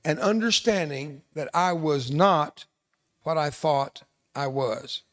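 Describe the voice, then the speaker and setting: A man's voice: fairly high pitch, lively intonation, slow pace. Older man giving a fiery veterans' speech. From South Texas, USA. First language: Spanish. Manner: emphatic, repeats key lines, addresses audience directly. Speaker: veteran speaker, resiliency trainer. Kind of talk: motivational speech.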